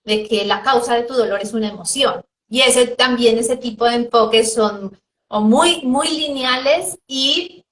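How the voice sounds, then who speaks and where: A Colombian-accented woman host speaks with a fairly high voice. She talks in a measured, reflective way, agreeing with her guest. The sound is roomy and echoey. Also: fairly bright tone. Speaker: interviewer, host. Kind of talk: interview conversation. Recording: roomy echo.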